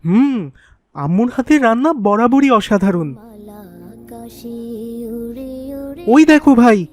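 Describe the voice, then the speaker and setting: A curious young cartoon character acted by a woman talks in a fairly high voice. The manner is playful yet earnest and inquisitive, recorded dry and close. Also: big pitch swings, normal speed, darkish tone, no room sound. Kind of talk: scripted Islamic cartoon dialogue.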